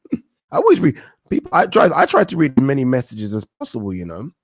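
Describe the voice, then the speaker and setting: A man's voice: mid-range voice, huge pitch swings, normal speed, no echo. Casual man hosting a boxing stream. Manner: rambling, swears casually, thinks aloud and corrects himself. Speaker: boxing talk show host. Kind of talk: casual live-stream chat reading viewer questions.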